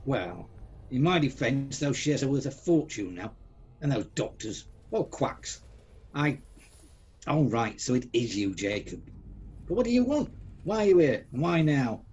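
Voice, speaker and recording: A man's voice: medium pitch, sing-song, a little slow, darkish tone, slightly rough, exaggerated voice. A man acting a grumpy, sceptical old miser in a radio play, with a blustering, indignant, exclamatory delivery. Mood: anxious. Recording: dull lo-fi sound, music behind.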